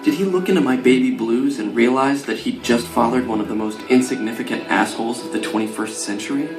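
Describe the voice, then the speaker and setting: A man's voice: mid-range voice, a little fast, natural voice. An actor playing an anxious, self-pitying young man in a motion comic, with a whiny, nervous way of talking. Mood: bitter, self-pitying. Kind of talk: motion comic voice acting with narration. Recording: roomy sound with music underneath.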